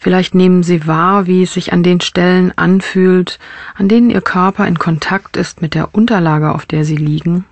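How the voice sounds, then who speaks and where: A woman's voice: on the low side, steady tone, normal speed, dry recording. Woman guiding a meditation, dry close sound. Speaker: mindfulness meditation teacher. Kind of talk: guided body scan meditation narration.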